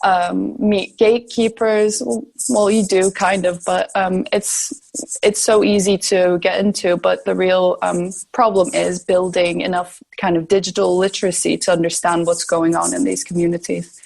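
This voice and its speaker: A woman's voice: lowish voice, little pitch movement, normal speed, creaky, a little mellow. Thoughtful young woman, hesitant interviewee. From Turkey, grew up in the Balkans. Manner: thoughtful, hesitant, searching for words. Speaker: podcast guest, sociology researcher. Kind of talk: conversational interview answers.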